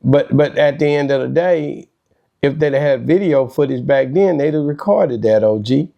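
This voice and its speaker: A man's voice: mid-range voice, moderate pace, creaky, highs cut off. A man hosting a talk show with casual, conversational commentary.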